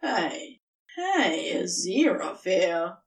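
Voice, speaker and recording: A woman voicing an eager, affectionate serpent creature with a rough-edged colloquial drawl in a storybook reading. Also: lowish voice, big pitch swings, very slow, far from the mic, voice pushed down, exaggerated voice. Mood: urgent.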